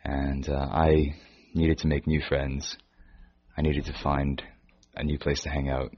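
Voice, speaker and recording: A man from Canada with a Canadian accent that keeps traces of British, answering interview questions in a hesitant way with frequent pauses. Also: extremely low pitch, flat delivery. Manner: hesitant, pauses and restarts often. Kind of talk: casual interview chat. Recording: dull lo-fi recording.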